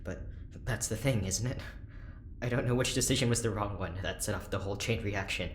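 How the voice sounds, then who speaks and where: A man playing an anxious, unravelling corporate scientist in an audio drama, earnest, brittle and halting. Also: lowish voice, reverberant, a little hiss or hum, close to an everyday voice. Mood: despairing.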